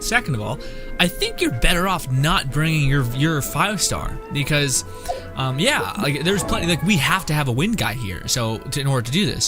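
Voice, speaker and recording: A man giving casual, rambling gameplay commentary as a streamer, blunt and prone to swearing. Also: medium pitch. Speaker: game streamer.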